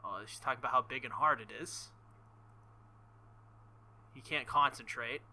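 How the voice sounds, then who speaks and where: A man reading a visual novel aloud with casual commentary, halting and embarrassed, often breaking into nervous laughter. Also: mid-range voice, bright, forceful tone. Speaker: let's play host.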